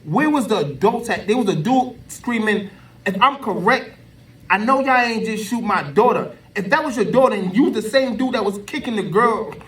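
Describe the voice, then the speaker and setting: A man's voice: sits high, lively intonation, moderate pace, a bit bright. An American man giving an unscripted opinion in an emphatic, colloquial, repetitive way, as an online commentator. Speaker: social media commentator. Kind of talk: unscripted opinion rant to camera. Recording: voice distant from the mic.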